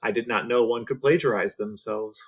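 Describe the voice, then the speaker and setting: A man's voice: fairly low pitch, fairly even intonation, moderate pace. American man chatting as podcast co-host. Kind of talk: casual discussion.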